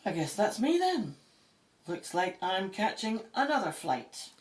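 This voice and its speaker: A woman acting a brisk, sceptical Egyptologist with a wry, matter-of-fact manner in an audio drama. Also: mid-range voice, big pitch swings. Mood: puzzled, sceptical.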